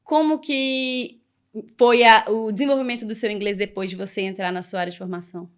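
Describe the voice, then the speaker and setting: A woman's voice: medium pitch, steady tone, brisk, smooth. A woman hosting an interview podcast, encouraging, who frames long questions from her own experience. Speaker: podcast host. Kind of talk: casual interview conversation.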